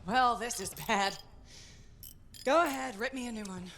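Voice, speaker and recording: A woman's voice: on the high side, normal speed, gravelly, a bit bright, slight background noise. A woman playing a headstrong, self-blaming pilot in a video game, with direct, urgent, naturalistic delivery. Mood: frustrated. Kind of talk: cinematic video game acting. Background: music.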